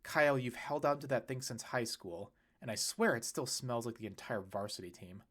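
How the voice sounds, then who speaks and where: A man's voice: mid-range voice, moderate pace, fairly bright tone, close to an everyday voice. A man narrator voicing a fussy, exasperated middle-aged father.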